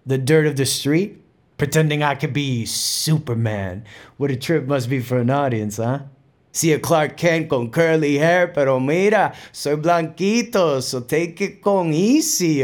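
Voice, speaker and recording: Streetwise, higher-voiced Puerto Rican New Yorker. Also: male voice. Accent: Puerto Rican New York. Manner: Spanglish code-switching, streetwise, conversational storytelling. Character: Puerto Rican bandleader from El Barrio, streetwise, playful, reflective. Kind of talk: dramatic monologue in audio play.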